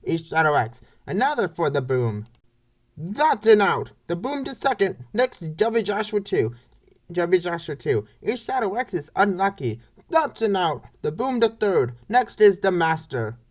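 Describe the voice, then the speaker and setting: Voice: mid-range voice, very animated, measured pace. A baseball play-by-play announcer reading scripted commentary for a comic dub in short, clipped phrases.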